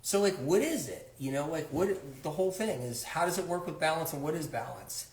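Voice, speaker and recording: High-voiced man cooking on a livestream. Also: expressive, brisk, darkish tone, echoey room. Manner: rambling, sarcastic. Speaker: host. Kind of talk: casual livestream chat while cooking. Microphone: phone livestream.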